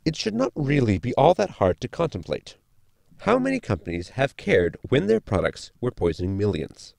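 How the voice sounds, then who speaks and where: An actor playing an erudite, pedantic crew member in a science fiction audio sitcom, speaking in a formal, lecturing way. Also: male voice, mid-range voice, huge pitch swings, normal speed, vocal fry, darkish tone, no echo, heavily acted. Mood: dry moralizing.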